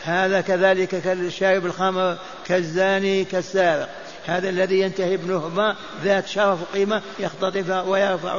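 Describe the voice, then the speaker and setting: An older man giving a mosque lesson on hadith, chanting and repeating texts so listeners memorize them. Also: high-pitched. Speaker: mosque teacher. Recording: dull lo-fi lecture recording.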